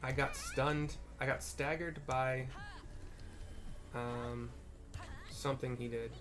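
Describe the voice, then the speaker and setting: A man commentates on a video game in a casual, unscripted way, with a medium-pitched voice. He thinks aloud as he goes, rambling through explanations and often rephrasing what he means. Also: flat delivery, takes it slowly, music in the background. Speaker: gameplay commentator.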